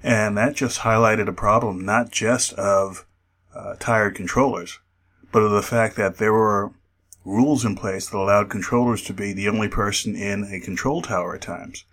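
A man speaking as an expert guest in a radio interview, explaining in a measured, careful way. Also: lowish voice, fairly even intonation, a bit bright.

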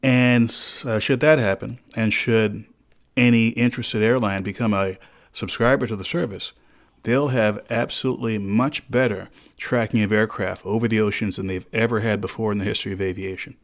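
A man with a fairly low voice speaks as an expert guest on a radio interview. He explains things in a measured, precise way, with formal, carefully built phrasing. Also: steady tone.

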